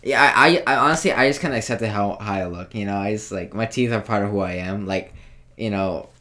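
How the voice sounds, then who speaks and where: A man's voice: lowish voice, a little fast, pushed and bright. A young man with a slight lisp in a casual podcast chat, talking in slangy, repetitive, self-deprecating runs. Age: young adult. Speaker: podcast co-host.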